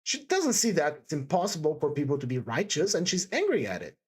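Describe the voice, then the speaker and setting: A woman voicing a timid, distressed young woman in an audiobook, pleading fervently with halting repetitions. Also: low voice, huge pitch swings, slightly rough, exaggerated voice. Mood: fervent, indignant. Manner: halting, stammering, breathless repetitions and emphatic exclamations. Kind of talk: dramatised audiobook character dialogue.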